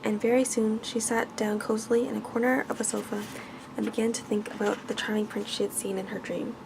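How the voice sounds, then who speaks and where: Female ASMR storyteller, hushed scripted bedtime reading, creaky edge, clear background hiss or noise. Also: medium pitch, level tone, a little fast.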